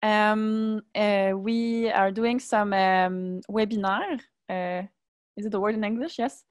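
A woman's voice: mid-range voice, steady tone, smooth, no room sound. Quebec french lady in her 30s on a video call, lots of uhs, dry sound. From Montreal, Canada. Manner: halting, searching for English words, many "uh"s. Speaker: webinar participant. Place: indoor room at home. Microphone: earbuds on video call.

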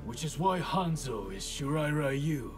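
An actor playing a fierce, protective young ninja with a sense of honor in a video game, stern and intense. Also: male voice, fairly high pitch, sing-song, unhurried, music in the background. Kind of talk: video game fighter dialogue.

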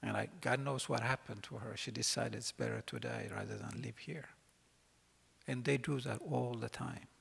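Gravelly, husky man in a hall. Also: medium pitch, wildly swooping pitch, fairly bright tone. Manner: earnest, halting with restarts. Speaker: keynote speaker. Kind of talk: lecture. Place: large college concert hall. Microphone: podium mic. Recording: long hall reverb.

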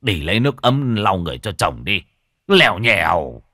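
A man voicing a bossy, quick-tempered older village shaman who barks orders, in a told folk story. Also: fairly low pitch, very animated, dry recording, studio quality, heavily acted. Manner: barking orders, loud and gruff.

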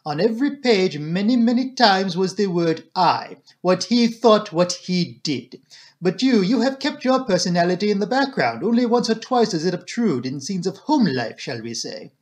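A man's voice: high voice, lively intonation, normal speed, pitched above the performer's own voice. A man voicing a fussy, proud, courteous detective in an audiobook, with a French accent and formal, theatrical phrasing. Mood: measured, kindly.

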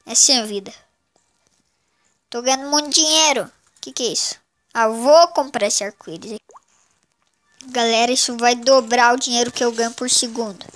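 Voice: medium pitch, moderate pace, pauses often, a bit bright, soft background music. A child hosting a casual mobile game commentary, sounding congested with a cold. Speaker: gaming channel host.

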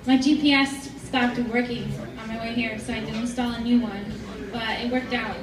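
A woman's voice: a little high, steady tone, moderate pace, a crowd in the background. Young female open-mic performer, casual stage chat, very echoey small room, recorded from a distance. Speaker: singer-guitarist at an open mic. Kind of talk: casual chat introducing a song. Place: small indoor store venue with wooden floor. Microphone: stand mic, heard through distant phone camera. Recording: echoey small venue, far from camera.

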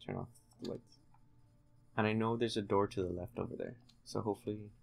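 A young man giving low-key, explanatory commentary while playing a video game at home. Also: fairly even intonation, brisk, creaky, a little mellow. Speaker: gaming channel host playing the game. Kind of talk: casual let's play commentary. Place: room at home.

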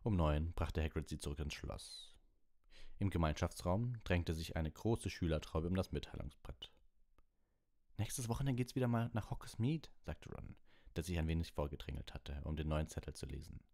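A man with a low voice narrates an audiobook. He reads the scripted story as its narrator. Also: moderate pace, warm tone.

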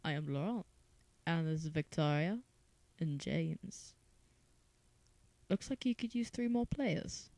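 A woman's voice: sits low, animated, slow pace, creaky, pauses often, warm tone, dry recording, heavily acted. A woman voicing a composed, ancient male vampire stranger with a formal manner in an audiobook audition. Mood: coolly confident.

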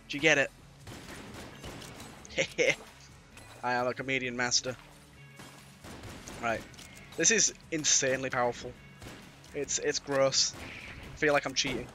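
A British man with a cold and a slightly raspy voice, giving casual, playful, mildly sweary let's-play commentary. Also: mid-range voice, sharp tone. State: has a cold. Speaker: gaming YouTuber. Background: game music.

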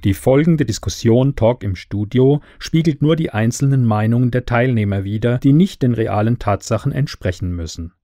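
Mellow male announcer, dry sound. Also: mid-range voice, normal speed, few pauses, dry recording. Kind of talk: scripted disclaimer narration.

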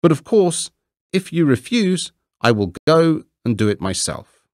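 A man's voice: fairly high pitch, sing-song, a little slow, a little mellow, no room sound, studio quality, pitched above the performer's own voice. A man voicing a self-righteous male miller who lectures in a raised, pompous tone. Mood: smug. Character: smug, preachy grown male miller.

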